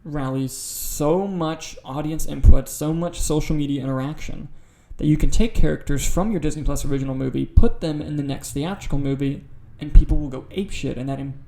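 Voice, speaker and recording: A man's voice: a little high, brisk, gravelly, few pauses, warm tone. A man hosting a podcast in a casual film discussion style. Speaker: podcast host.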